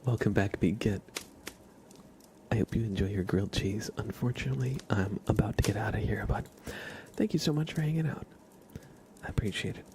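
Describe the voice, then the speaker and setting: A man chatting softly as an ASMR livestream host, hushed and often slipping toward a whisper. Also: on the low side, brisk, long pauses, background noise. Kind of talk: soft-spoken ASMR livestream chat.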